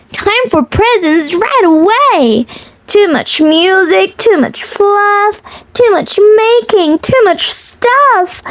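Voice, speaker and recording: Female storyteller for young kids: very unhurried, sing-song and playful, close dry sound. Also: high-pitched, no echo. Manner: chuckles often between lines. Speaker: children's read-aloud host. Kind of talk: expressive picture-book read-aloud with chatty asides.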